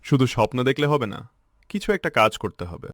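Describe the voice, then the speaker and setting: Guy doing a poor hardworking village kid in a cartoon, earnest n polite, pitch moves a lot, music behind. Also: big pitch swings, fast, lightly acted. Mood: determined. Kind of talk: cartoon story dialogue.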